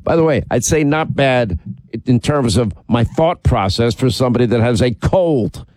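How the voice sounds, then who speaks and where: A man's voice: mid-range voice, animated, normal speed, a little mellow, dry recording. A middle-aged man with a head cold, hosting a radio talk show and chatting in a rambling, self-deprecating way. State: has a cold, congested. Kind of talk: casual on-air banter and commentary.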